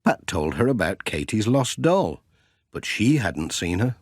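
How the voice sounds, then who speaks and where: A man narrating a children's storybook in a measured, expressive storytelling manner. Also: on the low side, husky, dry recording, clean studio sound. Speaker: audiobook narrator.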